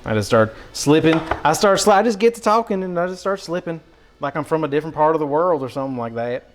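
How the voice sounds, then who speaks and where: Man acting folksy countryman, American Southern accent, somewhat high pitch, drawling, exclamatory, rambling, goofy livestream chat. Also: expressive, fast, lightly acted. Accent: put-on American country, Southern. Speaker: live streamer cooking for chat. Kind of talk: casual chatty livestream.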